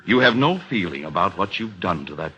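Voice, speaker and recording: A man's voice: low-pitched, expressive, fairly quick, sharp tone, muffled recording. A man playing a cold, bitter middle-aged husband in an old radio drama, curt and scornful. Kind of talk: 1940s-50s radio drama acting. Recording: noisy old radio recording.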